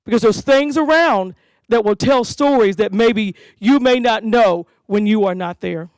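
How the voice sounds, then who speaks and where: A woman hosting a school community safety event, giving an animated speech to parents and leading call-and-response chants. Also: a little high, unhurried, no room sound, rough recording.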